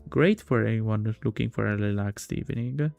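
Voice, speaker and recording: A man voicing a polite, composed male bartender in a visual novel, with a measured service manner. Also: fairly low pitch, vocal fry, a little mellow, no echo, lo-fi sound, soft background music. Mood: polite, attentive.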